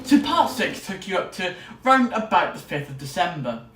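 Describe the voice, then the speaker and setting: Higher-voiced young man in an echoey room. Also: big pitch swings, normal speed, over music. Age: young adult. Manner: chatty, rambling, enthusiastic. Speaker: student vlogger. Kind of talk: casual vlog to camera. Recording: roomy echo, distant mic, faint noise.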